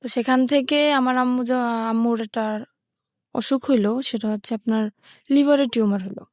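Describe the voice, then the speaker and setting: A woman's voice: a little high, fairly even intonation, moderate pace, a little mellow, no echo, clean studio sound. A woman from southern Bangladesh telling her personal story as a guest, plainly and colloquially, step by step. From Patuakhali, southern Bangladesh.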